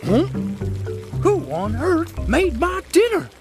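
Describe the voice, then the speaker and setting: A woman voicing an excitable, wide-eyed young male farmer in a children's storybook cartoon. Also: extremely high pitch, slow, music in the background, voice pushed up, full character voice. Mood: puzzled surprise.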